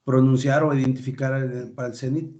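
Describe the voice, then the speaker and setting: A male student asking his teacher a question in class, halting and unsure. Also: mid-range voice, level tone, mellow, muffled recording.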